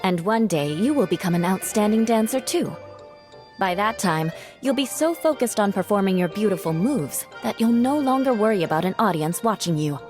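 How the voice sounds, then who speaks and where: A woman voicing a confident, proud young noblewoman in a video game, composed, measured and self-assured. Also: mid-range voice, animated, a little mellow, faint music behind, natural voice. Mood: reassuring. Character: confident young noblewoman dancer, proud, sharp-tongued yet kind.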